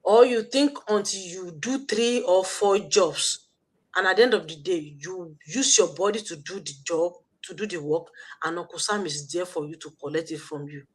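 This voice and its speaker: A woman's voice: lowish voice, normal speed. A woman hosting a live online show, giving an emphatic, preacher-like motivational talk with lots of repetition. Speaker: live show host and business mentor.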